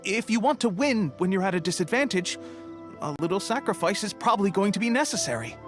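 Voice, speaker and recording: Game role: calm, well-read adviser played by a woman, explanatory, medium pitch, soft music underneath. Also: fast, close to an everyday voice. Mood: calm, thoughtful. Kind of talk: video game character dialogue.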